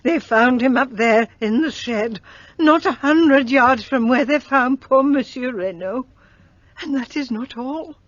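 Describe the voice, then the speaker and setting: An actress playing a frightened, superstitious servant in a radio play, speaking in an agitated, dramatic way. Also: female voice, high-pitched, a little slow, no echo, dull audio.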